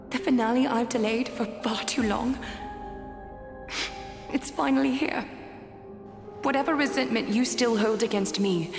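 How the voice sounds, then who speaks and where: A woman playing a dignified, regretful leader in a video game, speaking in a measured, formal, breathy and weary way. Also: a little high. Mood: solemn pleading. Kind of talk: dramatic video game voice acting. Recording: echoey hall reverb, music behind. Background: music.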